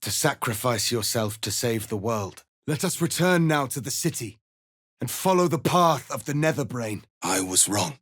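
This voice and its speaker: A man playing a proud, solemn alien warrior prince in a fantasy video game, speaking in a formal, commanding, deliberate way. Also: medium pitch, expressive, a bit bright, slightly rough, dry recording, studio quality. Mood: grave, weary. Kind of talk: dramatic fantasy game acting.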